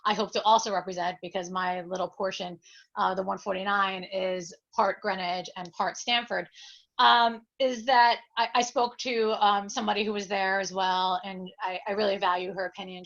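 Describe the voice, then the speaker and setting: A woman's voice: mid-range voice, fairly bright tone. A woman speaking unscripted as a political candidate on a discussion panel, hesitant and thinking aloud as she goes. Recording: dull lo-fi sound.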